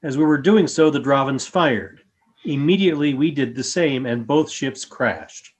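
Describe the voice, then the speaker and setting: A man playing a calm, grave alien creature in a radio play, with a formal, measured way of speaking. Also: mid-range voice, close to an everyday voice. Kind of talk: fan radio play acting.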